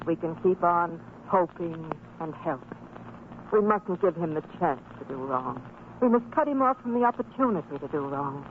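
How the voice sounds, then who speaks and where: A woman's voice: deep voice, expressive, normal speed. An actress playing a devout, firm and hopeful wife and mother in a religious radio drama, speaking with earnest, formal exhortation. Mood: resolute, consoling. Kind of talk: old-time religious radio drama acting. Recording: tinny, band-limited old radio recording, noisy.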